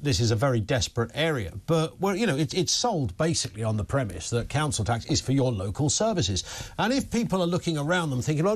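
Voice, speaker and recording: A man in his fifties hosts a current-affairs talk show from a television studio. He talks in a loose, chatty way, tossing in opinions and offhand asides. His voice sits at an ordinary middle pitch. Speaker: news talk show host. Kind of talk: conversational broadcast interview. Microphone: lapel mic.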